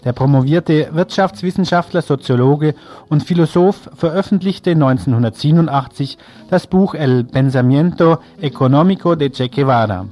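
A man's voice: a little high, normal speed, a little mellow. A man presenting on local radio, reading out event announcements from a prepared text.

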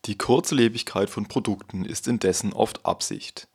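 Male reporter, voice-over, deep and raspy, calm measured read. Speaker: reporter narrating. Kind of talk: scripted voice-over narration.

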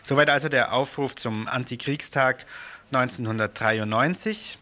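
A man presenting a local radio info show, reading event notices and an appeal in an earnest, steady way with small slips. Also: medium pitch, moderate pace. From Germany.

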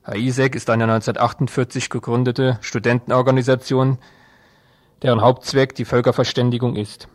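A man's voice: medium pitch, little pitch movement, a little fast. A man presenting radio news as scripted narration.

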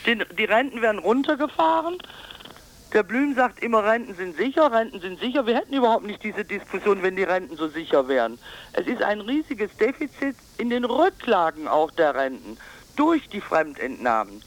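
A woman giving a political radio interview as an advocate, emphatic and insistent, sometimes halting. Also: medium pitch, very animated, poor audio. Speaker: pensioners' advocacy group board member, interviewee.

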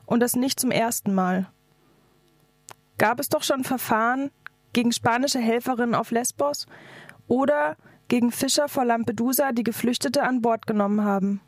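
High-voiced woman reading an activist speech. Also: normal speed, dry recording. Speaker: activist speaker. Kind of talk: scripted political speech read aloud.

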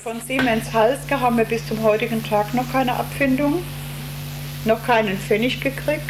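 An older woman giving eyewitness testimony, recalling her past in a plain, halting way with emphatic repetition. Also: fairly high pitch, steady tone. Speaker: camp survivor giving testimony. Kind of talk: spoken eyewitness recollection. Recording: noisy interview with crackling hiss.

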